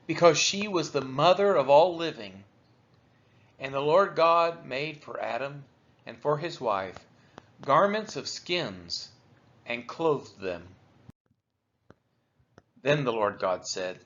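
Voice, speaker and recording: A man reads scripture aloud in a somewhat high, very animated voice. His phrasing is deliberate and broken into short units. The recording is a little dull and lo-fi. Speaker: Bible reader for a church's daily read-along.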